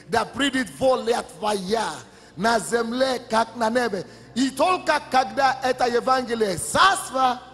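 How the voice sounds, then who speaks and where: A man's voice: extremely high pitch, a bit bright. A male pastor preaching a sermon with impassioned, emphatic declarations. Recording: long church hall reverb with music.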